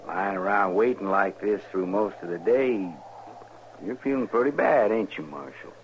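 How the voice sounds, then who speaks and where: Actor as sly, taunting western outlaw, muffled recording. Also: male voice, lowish voice, close to an everyday voice. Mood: patient menace. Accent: rural American West. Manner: mocking, unhurried needling. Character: sly, taunting male outlaw prisoner, coolly patient. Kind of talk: 1950s American radio drama acting. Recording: muffled, lightly noisy vintage radio recording.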